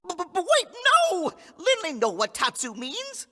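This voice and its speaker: Squeaky, excitable little creature. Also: female voice, normal speed, full character voice. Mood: panicked. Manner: third-person broken grammar, stammering, squeaky. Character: small excitable creature sidekick, childlike, easily panicked. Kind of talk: comic video game character acting. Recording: reverberant hall sound.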